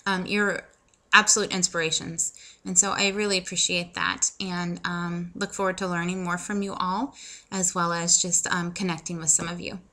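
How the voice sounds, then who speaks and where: A woman's voice: on the low side, little pitch movement, normal speed, bright, forceful tone. A woman in her thirties chatting casually to camera in a personal vlog, with self-deprecating humor and lots of ums. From United States. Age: 30s. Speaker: vlogger.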